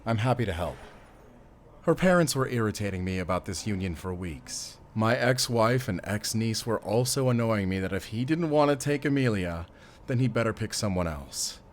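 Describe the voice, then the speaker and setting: A man's voice: on the low side, animated, moderate pace, a little hiss or hum, natural voice. A man playing a stern, commanding middle-aged father in an audio drama. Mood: calm, fond. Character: wealthy stern father, protective of his son, commanding.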